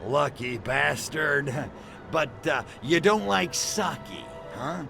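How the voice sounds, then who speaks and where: An actor playing a cocky, crude alien invader in a video game, brash, sarcastic and swaggering. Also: male voice, a little high, lively intonation, slow pace, gravelly, heavily acted. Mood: sardonic. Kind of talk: video game cutscene acting. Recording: background music under dialogue.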